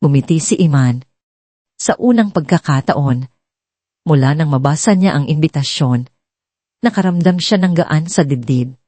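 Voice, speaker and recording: A woman's voice: low voice, expressive, moderate pace, long pauses, mellow, dry recording. A woman reading a scripted dramatic story as a storyteller.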